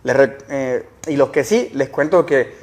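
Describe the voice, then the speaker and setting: A man's voice: medium pitch, measured pace, a little mellow. A young man, earnestly recounting his experiences as an interview guest and posing rhetorical questions. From El Salvador. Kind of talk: casual interview storytelling.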